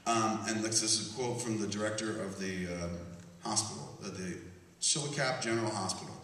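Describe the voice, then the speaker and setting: A man hosting a live comedy podcast, profane and animated, laughing through his jokes while reading a news story aloud and acting out voices. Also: fairly low pitch, brisk. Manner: reads news aloud and acts out quoted voices. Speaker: podcast host. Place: large hall with live audience. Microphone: stage mic heard via handheld camera in the audience. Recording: echoey hall from far off with loud noise.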